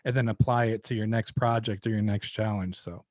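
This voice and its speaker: Measured man hosting, dry sound. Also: on the low side, fairly even intonation, a little mellow, dry recording. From United States, born in Ukraine. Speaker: podcast host. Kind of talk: casual interview chat.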